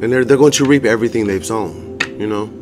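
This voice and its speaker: A hoarse man giving a casual tarot reading to viewers, blunt and profane, often laughing as he talks. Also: fairly low pitch, fast. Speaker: tarot reader. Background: music.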